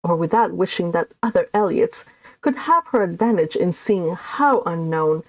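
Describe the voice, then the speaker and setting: A woman hosting a book read-along, talking casually and haltingly, and reading aloud from a classic novel. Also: mid-range voice, a little mellow.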